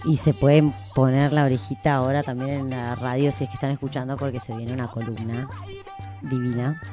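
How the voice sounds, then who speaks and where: A woman's voice: extremely low pitch, fairly even intonation, brisk, over music. A woman with an Argentine accent hosting a radio show, chatting casually and telling anecdotes in slangy, informal language. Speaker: radio host. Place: radio studio.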